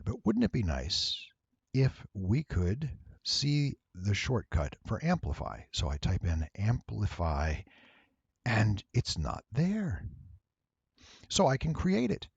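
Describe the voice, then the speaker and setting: A man with a low, creaky voice walks through software steps in a casual tutorial. He takes his time, with lively swings in pitch and a faintly nasal tone. The recording is dry and close. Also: no echo, lo-fi sound. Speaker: voice actor giving a software tutorial.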